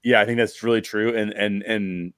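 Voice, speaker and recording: Low-voiced middle-aged man hosting a podcast. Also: fairly even intonation, normal speed, a bit bright, clean tone, no echo. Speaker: podcast host. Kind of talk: conversational film analysis. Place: bedroom, video call. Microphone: close podcast microphone.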